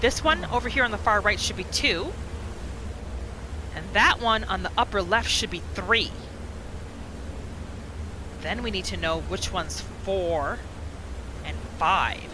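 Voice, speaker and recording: Expressive, unhurried woman gamer. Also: medium pitch, background noise. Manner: expressive, exclamatory. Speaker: gaming video host. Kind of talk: casual playthrough commentary. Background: game music.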